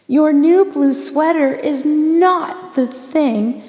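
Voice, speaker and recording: A woman reads the part of an exasperated storybook mother with a raised, fairly high voice. She scolds in measured, emphatic phrases and reproachful questions. Long reverb surrounds her. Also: mellow. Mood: exasperated scolding.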